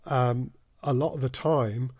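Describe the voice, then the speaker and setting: A man speaking as a podcast guest, explaining things in a measured way with hesitant repetitions. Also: mid-range voice, fairly even intonation, darkish tone, dry recording, studio quality. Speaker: guest, audio drama producer. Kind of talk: casual podcast interview.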